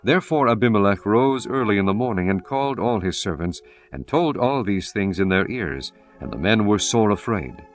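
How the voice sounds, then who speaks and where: A man's voice: on the low side, animated, normal speed, hardly any pauses, soft background music. A man narrating a scripture audiobook in a solemn, formal manner, reading archaic text as a scripted performance.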